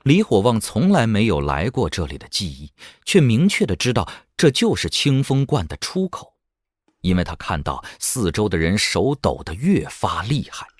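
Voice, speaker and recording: Low, expressive male narrator. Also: moderate pace, breathy edge, dry recording, clean studio sound. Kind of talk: dramatic scripted audiobook narration. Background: faint music.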